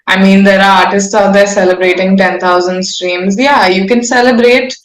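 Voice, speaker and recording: A woman's voice: mid-range voice, steady tone, moderate pace. A woman, talking candidly and effusively in a casual, informal interview style. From India. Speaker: singer-songwriter guest. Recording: roomy, echoing sound.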